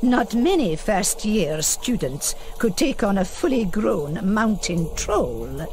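A woman's voice: mid-range voice, sing-song, slow pace, a little mellow. A woman playing a stern, disappointed professor in a video game, speaking formally and precisely as she scolds. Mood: disappointed scolding. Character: stern, disappointed female professor of a wizard school. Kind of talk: video game cutscene acting.

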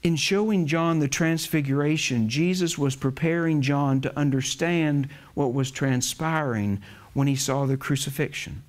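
A man's voice: fairly high pitch, measured pace. A young man preaching a chapel sermon from a pulpit, earnest and emphatic, leaning on key words.

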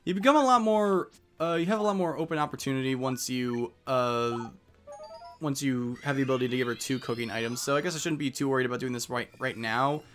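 A man's voice: medium pitch, fast, fairly bright tone, soft background music. A man giving casual commentary as he plays through a game. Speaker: let's player.

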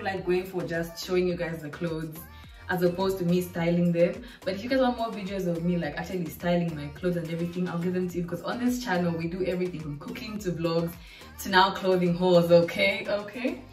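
A nineteen-year-old woman from Zambia, chatting casually and excitedly through a clothing try-on haul in her living room. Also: fairly low pitch, fairly quick. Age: late teens. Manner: chatty, bubbly, lots of fillers. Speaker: vlogger. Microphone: camera mic from across the room. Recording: echoey room, distant mic, faint music.

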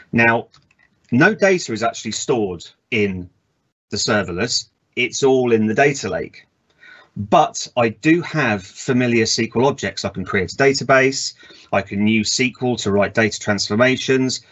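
A man in his thirties giving a relaxed technical conference talk from a home office over a video call, with frequent ums and uhs. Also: fairly low pitch, highs cut off. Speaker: conference presenter. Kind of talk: technical presentation over slides.

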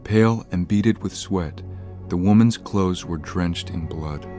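An actor narrating a medical horror tale gravely, in scripted audio drama style. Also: male voice, low voice, measured pace, dark tone, faint music behind.

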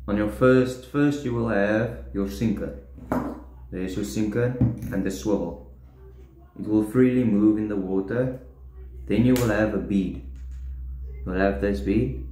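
Slow, low young man tying tackle. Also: mellow. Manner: halting, plain instructions while tying tackle. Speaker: fishing tutorial host. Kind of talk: step-by-step demonstration. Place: indoors at a wooden table, echoey room. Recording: roomy echo, faint room noise.

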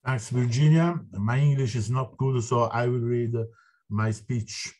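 Middle-aged italian guy reading his speech on a video call, formal and a bit halting, husky voice. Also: medium pitch, fairly even intonation, a little mellow. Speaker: board member. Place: indoor office with wooden wall.

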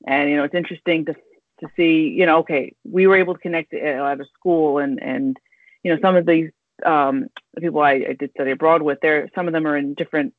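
Woman in her 30s, podcast host, rambling, halting, tinny phone-like sound, dry. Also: low voice, fairly even intonation, dry recording. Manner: rambling, halting, full of restarts and fillers. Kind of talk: casual interview chat. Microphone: video call. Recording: tinny dry video call audio.

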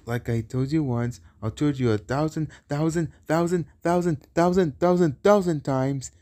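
A man's voice: a little high, mellow. A man voicing a big, bossy, short-tempered cartoon villain boss who blusters and repeats himself. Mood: scheming. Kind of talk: cartoon villain character lines.

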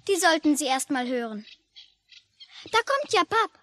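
An actress playing an eager, chirpy child who loves birds, in a 1950s German radio play. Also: female voice, sits high, very animated, a little slow, sharp tone, full character voice. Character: eager, bird-loving child of lakeside angler. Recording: faintly noisy old radio recording.

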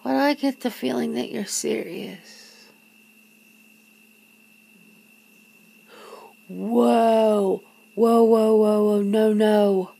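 High-voiced, slow-talking disbelieving girlfriend, acted by a woman. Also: fairly even intonation, warm tone, heavily acted. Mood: nervous, alarmed. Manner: halting, breathy sighs and chuckles, often dropping to a whisper. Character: young woman, girlfriend of a vampire, nervous, disbelieving, teasing. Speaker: ASMR roleplay performer. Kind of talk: ASMR roleplay, one side of an intimate conversation.

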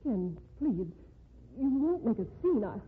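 An actress playing a genteel, cowardly former sweetheart, refined and hesitant in 1950s radio style. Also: female voice, fairly high pitch, big pitch swings, a little slow. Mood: frightened. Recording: tinny, noisy 1950s radio recording.

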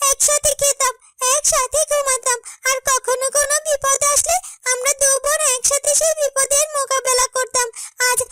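Very high little grieving serpent. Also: female voice, sharp tone. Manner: earnest, melodramatic, repeats words. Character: small grieving female serpent, devoted sister. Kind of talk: fairy-tale cartoon voice acting.

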